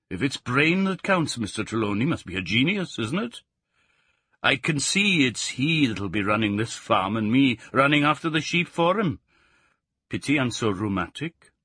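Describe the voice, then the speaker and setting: A man's voice: medium pitch, fairly bright tone, slightly rough, dry recording. A man voicing a cheerful middle-aged Welsh sheep farmer with a singsong Welsh accent. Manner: lilting, singsong.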